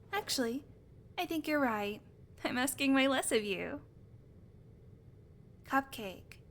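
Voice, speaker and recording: A woman's voice: sits high, slight background noise, lightly acted. A woman playing a sarcastic, teasing vampire who swears casually, in a conversational audio roleplay. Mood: mocking, playful. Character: sardonic female vampire, unrepentant predator, teasing. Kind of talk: audio roleplay acting, conversational monologue to listener.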